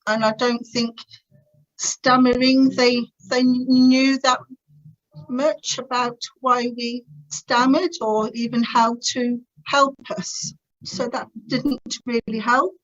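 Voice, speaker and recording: A woman's voice: on the high side, fairly even intonation, takes it slowly, highs cut off. A woman in her fifties giving a personal conference talk over a video call, stammering with repeated sounds and blocks. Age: 50s. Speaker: conference speaker.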